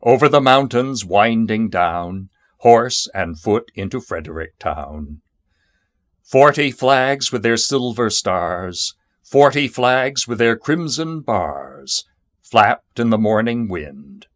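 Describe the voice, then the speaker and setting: A man reciting narrative poetry in a measured, solemn and dramatic manner. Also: lowish voice, big pitch swings, no room sound, clean studio sound. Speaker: poetry reader.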